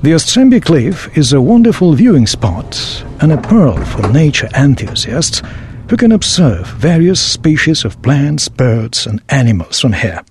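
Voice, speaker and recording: A man acts as a well-informed local guide in a scripted audio play. His fairly high voice swings expressively as he presents information formally and helpfully. Background ambience sits under the speech. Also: sing-song, unhurried, a little mellow, a little hiss or hum. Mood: informative, obliging. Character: knowledgeable, obliging male local tour guide. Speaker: tour guide.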